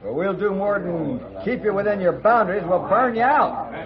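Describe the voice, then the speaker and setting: An actor playing a menacing cattle rancher who barks threats and orders in an old radio western. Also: male voice, high-pitched, lively intonation, normal speed, highs cut off, crowd noise. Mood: threatening. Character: bullying, threatening cattle rancher villain of the West.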